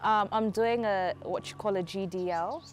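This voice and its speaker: A woman in her early twenties with a British-influenced Kenyan accent, giving polite, thoughtful, measured answers as an interview guest. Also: mid-range voice. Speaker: interview guest, law student.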